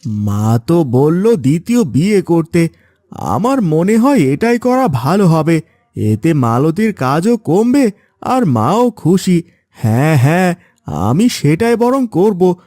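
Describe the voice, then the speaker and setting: A man's voice: on the high side, very animated, takes it slowly, dark tone, dry recording. A man voicing an exasperated young village farmer husband in a cartoon, with a theatrical, pleading manner. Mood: musing. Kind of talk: Bengali cartoon voice acting.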